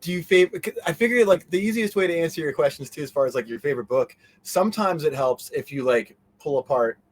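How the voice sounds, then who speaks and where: A man's voice: a little high, expressive, quick pace. A man as an interview guest in casual fan chat, joking and cutting into his own rambling sentences. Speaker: interview guest, convention organizer.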